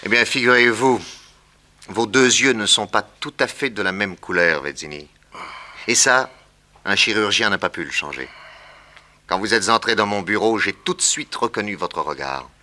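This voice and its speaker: A shrewd detective in a 60s radio drama, deepish punchy voice, confident and kinda wry. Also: male voice, expressive, normal speed, sharp tone. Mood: wry, triumphant. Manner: assured, wry, commanding. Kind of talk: 1960s French radio crime serial acting.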